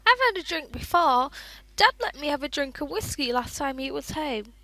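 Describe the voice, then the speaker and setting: Wartime girl, played by a woman: earnest, youthful, high-pitched and animated, recorded dry. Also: normal speed, no echo, heavily acted, poor audio. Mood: confiding. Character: hopeful, sensitive young girl in wartime Britain. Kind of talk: radio play acting.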